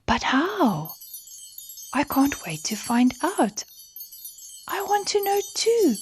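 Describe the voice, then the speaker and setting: A woman's voice: sits high, big pitch swings, slow pace, slight background noise, voice pushed up, heavily acted. A woman voicing tiny, eager young stars, bubbly and squealing, in a children's bedtime story. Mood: eager, pleading.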